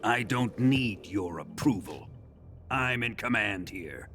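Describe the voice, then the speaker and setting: Ruthless military colonel over music. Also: male voice, lowish voice, animated, slow pace, heavily acted. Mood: menacing, commanding. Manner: menacing, commanding, contemptuous. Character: ruthless, suspicious male military colonel in command. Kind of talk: dramatic video game cutscene acting. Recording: loud music behind the voice.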